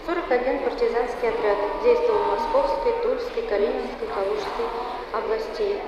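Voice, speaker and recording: Distant high-voiced woman in an echoing hall. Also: brisk. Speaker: museum guide. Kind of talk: recited guided-tour talk. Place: large reverberant indoor hall. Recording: distant, muffled, very echoey noisy hall.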